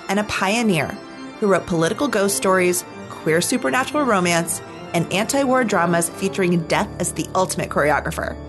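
A woman hosting a podcast, reading scripted narration in a measured, articulate storytelling manner. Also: on the low side. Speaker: podcast host. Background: background music.

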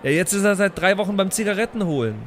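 A man's voice: sits high, fast. A man playing an eager, slangy young superhero rookie just out of school, improvised for an audio role-play. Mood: sheepish, casual. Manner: slangy, excitable. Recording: clear background noise.

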